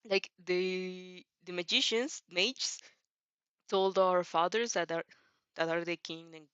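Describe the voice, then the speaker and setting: A woman who is a non-native English speaker, hosting a casual fan fiction read-aloud with an informal, halting, giggly delivery and self-corrections. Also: on the low side, steady tone, fairly bright tone, smooth, dry recording, dull audio. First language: not English. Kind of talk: casual reaction chat and story reading.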